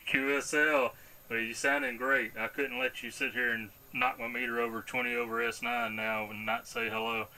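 A man's voice: medium pitch, flat delivery, moderate pace, pushed and bright. A man from the United States making contact calls as an amateur radio operator. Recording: very noisy radio shack clip, clicks.

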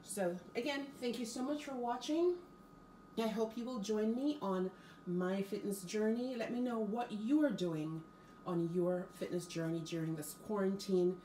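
A woman's voice: mid-range voice, moderate pace, mellow, far from the mic, slight background noise, rough recording. A woman vlogger chatting casually about her groceries at home, rambling with ums and restarts. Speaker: fitness vlogger. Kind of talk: casual grocery haul chat. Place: home kitchen, indoors.

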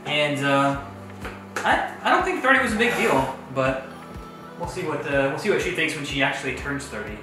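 A man in his early thirties chatting casually while cooking at home, laid-back and low-key. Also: sing-song, moderate pace. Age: early 30s. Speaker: co-host, husband. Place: large home kitchen, indoors. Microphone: far camera mic. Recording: echoey kitchen from far off, background music.

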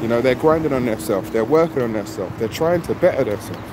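A man's voice: medium pitch, lively intonation, quick pace. A middle-aged man vlogging casually into a phone held at arm's length, repeating his points with emphasis and often asking "you know what I mean". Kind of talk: casual selfie vlog. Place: outdoors on a street by a shop, traffic around. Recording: loud street and car noise.

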